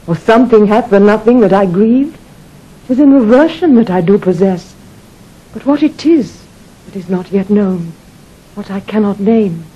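A husky, sorrowful queen. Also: medium pitch, warm tone, muffled recording. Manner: solemn, declamatory verse speaking. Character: grieving, foreboding queen, regal and melancholy. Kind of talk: classical Shakespearean radio acting.

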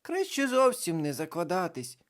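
The reader voicing a twelve-year-old girl dressed as a witch, loud and excitable. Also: medium pitch, a little slow, pitched above the performer's own voice. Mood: teasing.

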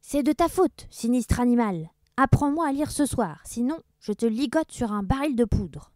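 A woman voicing a cruel male pirate captain in a children's story, shouting insults at his crew. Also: lowish voice, expressive, normal speed, no echo, studio quality, close to an everyday voice. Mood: threatening.

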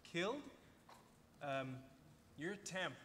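A young man acting a sincere, confused boyfriend in a live script reading, hesitant and halting. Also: a little high, lively intonation. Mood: bewildered. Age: young adult. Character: bewildered, sincere young boyfriend under questioning. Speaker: actor in a live table read. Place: large convention hall panel stage. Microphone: table microphone. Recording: echoey convention hall PA, background noise.

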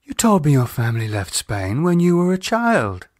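Audiobook dialogue, male narrator as concerned woman, earnest, questioning, unhurried, very animated pitch, dry sound. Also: no room sound. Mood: surprised, questioning. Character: worried, caring woman, questioning and reproachful.

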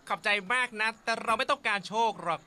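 A woman's voice: fairly high pitch, quick pace. A woman voicing a boastful showman dad animal. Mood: fatherly. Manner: loud, theatrical, announcer-like. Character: boastful male showman animal, proud father of a duckling. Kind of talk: Thai cartoon dub.

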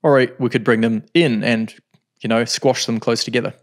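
A man in his thirties teaching music software in a home studio, explaining calmly with frequent ums. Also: mid-range voice, moderate pace. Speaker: music production tutor. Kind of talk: screen-recorded software tutorial.

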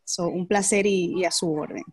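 Low-voiced woman introducing herself on a call. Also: fairly even intonation, normal speed, darkish tone, slightly rough, dry recording. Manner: many hesitation fillers. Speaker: real estate agent. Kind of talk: casual self-introduction. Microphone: video call.

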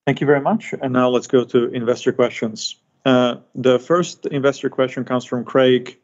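A man's voice: medium pitch, steady tone, normal speed. Male call moderator on dull audio. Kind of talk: moderating an earnings call, reading questions.

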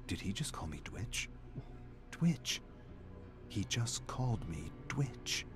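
A man's voice: lowish voice, very animated, slow pace, faint music behind. A man voicing an anxious, bewildered male office employee, unsure and incredulous, in a game story.

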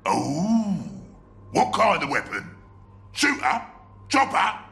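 Gravelly Cockney ork warboss. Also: male voice, high voice, big pitch swings, slow, sharp tone, heavily acted. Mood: greedy, suspicious. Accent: Cockney English. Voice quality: gravelly, growling. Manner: blunt, boisterous slang, often bellowing. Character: brash, greedy, brutish ork warboss.

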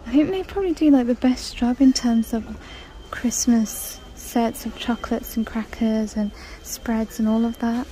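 Woman, fairly high voice, mellow tone, casual shopping chatter, faint store hum behind. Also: measured pace, rough recording. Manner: chatty, reads out prices. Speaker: shopping vlogger. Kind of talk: casual shop-with-me narration. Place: large indoor discount store. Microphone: handheld phone camera.

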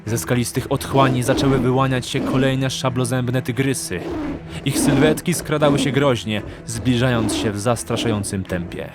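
Male narrator for fantasy audio drama: medium pitch, dramatic scripted reading, prominent music underneath. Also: fairly even intonation, normal speed.

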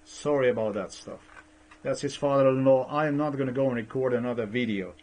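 A man's voice: medium pitch, a little fast, a little mellow. A middle-aged man, not a native English speaker, rambling hesitantly with many fillers and restarts, recorded on a desk webcam in a small room. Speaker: vlogger. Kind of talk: rambling screen-share commentary.

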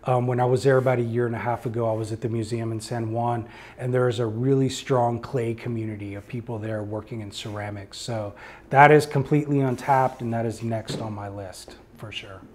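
A man speaks as an artist taking questions from a small gallery audience. His voice sits at a medium pitch. He is earnest and reflective, often pausing and restarting as he works out his answer. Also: steady tone, a little mellow, a little hiss or hum. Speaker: artist giving a gallery talk. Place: indoor art gallery before a small seated audience.

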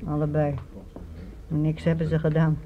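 An actress playing a frail, dazed, grieving old newspaper seller, resigned and repetitive, in a radio drama. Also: female voice, low-pitched, completely flat delivery, unhurried, heavy background noise. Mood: numb grief. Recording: dull radio recording, café chatter behind.

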